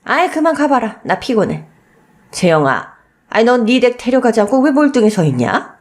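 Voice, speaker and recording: A woman performs an elderly, wealthy mother-in-law in a radio story. She is cold and scornful, scolding with haughty rhetorical questions. Her pitch swings upward in lively, indignant arcs. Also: moderate pace. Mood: dismissive. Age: older.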